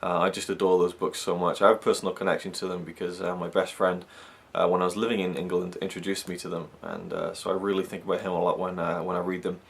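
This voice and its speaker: Brief: young man from Wales, deep voice, rambling chatty vlogger. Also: little pitch movement, fast, vocal fry, hardly any pauses, husky. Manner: rambling, chatty, many fillers. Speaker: YouTube reviewer. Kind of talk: casual chat to camera.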